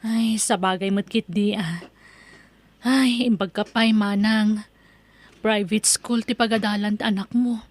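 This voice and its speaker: A woman's voice: mid-range voice, steady tone, measured pace, long pauses, natural voice. A woman with an Ilocano accent playing a chatty, inquisitive neighbour mother in an audio drama. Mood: curious. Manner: conversational, questioning.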